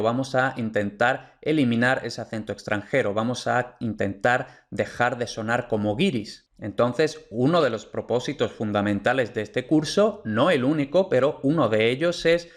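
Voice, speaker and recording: A male teacher delivers an online course lecture over slides. He is clear and methodical, and he restates each point to be sure it lands. His voice sits at an ordinary middle pitch for a man. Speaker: Spanish pronunciation teacher.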